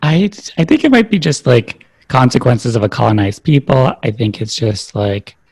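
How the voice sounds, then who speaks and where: A man's voice: medium pitch, expressive, moderate pace, a little mellow, husky. A man hosting a podcast, talking in a reflective, thinking-aloud way with many fillers. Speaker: podcast host. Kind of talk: casual interview chat.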